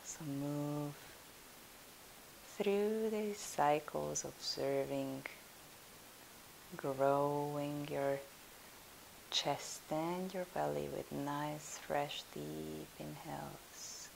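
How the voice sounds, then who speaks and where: A woman leading a guided yoga nidra meditation as a teacher, in a soothing, hushed, unhurried manner. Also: low voice, gravelly, low-quality recording. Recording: steady hiss.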